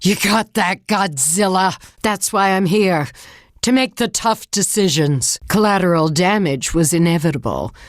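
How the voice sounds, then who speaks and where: A woman's voice: fairly low pitch, lively intonation, unhurried, no room sound. Hard-nosed female government leader, blunt, commanding, resolute, dry close sound, dramatic game acting. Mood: grim, resolute. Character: tough, resolute female government leader fighting a giant monster.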